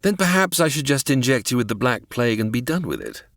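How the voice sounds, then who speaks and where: Wildly theatrical, gloating mad-scientist villain. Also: male voice, medium pitch, sing-song, fast talker, fairly bright tone, no echo, studio quality. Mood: amused menace. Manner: theatrical, condescending, formal. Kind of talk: old-time radio melodrama parody acting.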